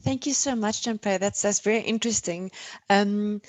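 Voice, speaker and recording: A woman's voice: mid-range voice, normal speed, dry recording. A woman from South Africa with an Afrikaans accent, moderating an online panel discussion over a video call in an encouraging way, often repeating words as she starts.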